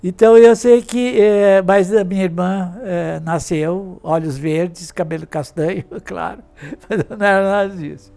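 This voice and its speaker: A man's voice: high-pitched, unhurried, creaky, warm tone. An elderly man in his eighties from Brazil, an artist and fashion designer, reminiscing in an interview. He rambles, restarts his sentences and keeps checking in with his listener. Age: 80s. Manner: rambling, with many restarts and tag questions.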